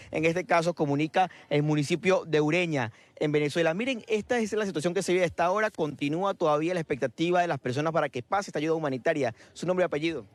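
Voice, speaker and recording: A male field reporter, reporting live outdoors at a border bridge and interviewing people. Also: a little high.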